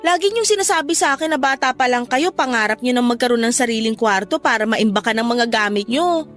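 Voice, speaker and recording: A woman with a Filipino accent playing a devoted, forgiving young adult daughter, earnest and gently persuasive, in a Tagalog radio drama. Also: fairly high pitch, lively intonation, brisk. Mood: earnest, grateful. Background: music.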